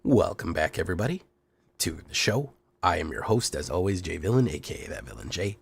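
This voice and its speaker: A young man hosting a card game channel, talking through his deck in a playful showman patter while sick, his voice rough. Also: sits low, big pitch swings, fairly quick. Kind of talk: casual deck walkthrough.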